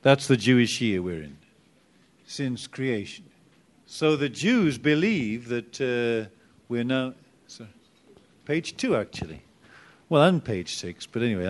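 Slow-speaking man teaching a Bible class. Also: mid-range voice, expressive. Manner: chatty and self-deprecating, loses his notes, rises to emphatic bursts.

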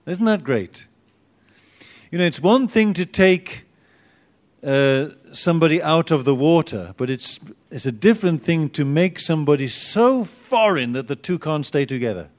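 A man's voice: fairly high pitch, animated, moderate pace. A man teaching an informal Bible class, chatty and self-deprecating, with sudden bursts of emphasis.

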